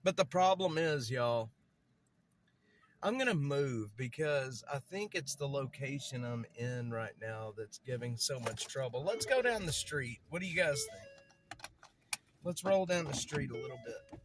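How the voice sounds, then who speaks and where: A man with a noticeable stutter talks casually to a livestream audience outdoors on a city street. He rambles, circles back over the same points and fills gaps with ums and uhs. His voice sits in a middle pitch for a man. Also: lively intonation, a little slow, a little hiss or hum. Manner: rambling, repeats himself, many fillers.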